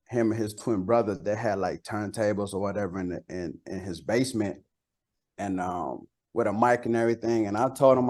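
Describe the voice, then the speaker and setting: A man's voice: on the low side, a little mellow. Casual, colloquial man from Costa Rica. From San Jose, Costa Rica. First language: Spanish. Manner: colloquial, peppered with "like" and "you know". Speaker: interview guest, bilingual rapper and songwriter. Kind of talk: casual interview chat.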